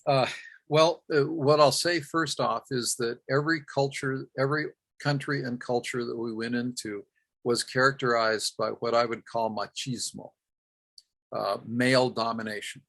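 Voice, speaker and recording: A man's voice: medium pitch, fairly even intonation, normal speed, creaky, a bit bright. A man presenting at a workshop, recounting a field project and answering questions, with frequent "uh" fillers and self-corrections.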